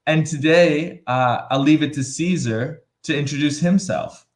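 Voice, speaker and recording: A man's voice: a little high, a little slow. A man from Buffalo, co-hosting a casual live stream in a chatty, joking way. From Buffalo, New York. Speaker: co-host.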